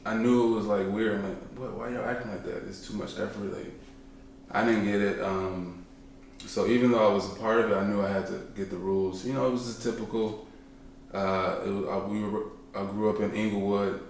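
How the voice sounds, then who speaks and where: Podcast guest, young man, deep voice, easygoing and rambling, recorded in a boomy room. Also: fairly even intonation, brisk, a little hiss or hum. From Chicago, South Side. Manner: laid-back, rambling, joking, trails off often. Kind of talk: casual conversational interview. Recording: echoey room, mic at a distance.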